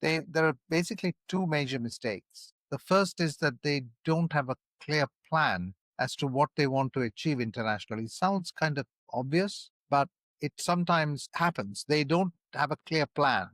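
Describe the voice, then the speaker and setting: A man from India, a podcast guest who speaks in a measured way with some stammering repeats. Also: on the high side, a bit bright, dry recording. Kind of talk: podcast interview.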